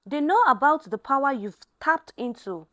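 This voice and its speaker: Woman doing cold menacing villain man in a story, ominous, high pitched, animated. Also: moderate pace, muffled recording.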